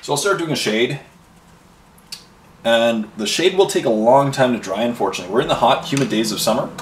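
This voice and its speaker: A man's voice: medium pitch, expressive, a little fast. Rambling chatty man in an echoey room. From Ireland and Canada. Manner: rambling and chatty, with many fillers and asides. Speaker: miniature painting host. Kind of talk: casual chat while painting. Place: home hobby room. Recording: roomy echo, voice a bit far from mic, faint noise.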